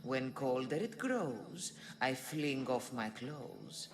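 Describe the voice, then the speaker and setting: Slow, deep riddling female monster. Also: very animated, noisy background, exaggerated voice. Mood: cryptic. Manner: theatrical, deliberate, cryptic. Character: riddling female monster guarding ancient power, proud, teasing. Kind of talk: dramatic video game riddle recital. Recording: music behind the voice.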